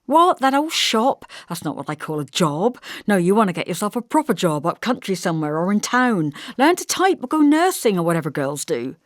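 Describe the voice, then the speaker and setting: A woman's voice: mid-range voice, sing-song, a little fast, few pauses, no echo, clean studio sound, voice pushed up, close to an everyday voice. A woman voicing a blunt, bossy young working-class man in an audiobook, brusque and insistent, with colloquial turns of phrase. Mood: lecturing. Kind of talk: audiobook character dialogue.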